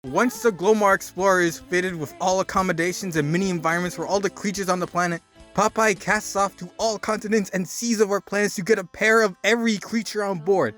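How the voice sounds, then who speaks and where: High-pitched man dramatically reading a story. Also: fairly quick, fairly bright tone. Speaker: narrator doing a dramatic reading. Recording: music behind the voice. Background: music.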